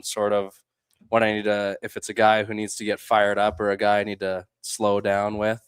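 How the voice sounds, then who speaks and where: A man's voice: on the low side, clear, resonant tone, dry recording. A young man chatting as an interview guest, an athlete giving plain-spoken, laid-back answers. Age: young adult. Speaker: college baseball catcher, interview guest. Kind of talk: casual interview chat.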